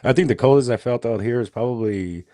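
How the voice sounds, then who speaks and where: A man's voice: medium pitch, quick pace, mellow, no room sound, clean studio sound. A man in his fifties co-hosting a podcast, talking bluntly and with jokes in casual chat. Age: 50s. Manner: blunt, joking. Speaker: podcast co-host.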